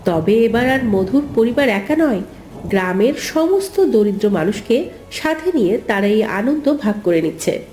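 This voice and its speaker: A woman narrating an animated story in a scripted storybook style, with a roomy echo. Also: a little high, measured pace, a little hiss or hum.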